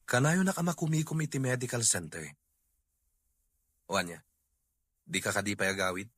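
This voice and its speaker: A man's voice: on the low side, sing-song, moderate pace, fairly bright tone, slightly rough, no echo, heavily acted. A man playing a frustrated Filipino husband and grown son in a drama. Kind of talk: comedy-drama acting.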